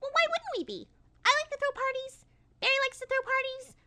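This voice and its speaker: Super squeaky hyper girl pony, bubbly, chatters really fast, cartoony, noisy audio. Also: lively intonation, sharp tone, exaggerated voice. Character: hyper, giddy young female party pony.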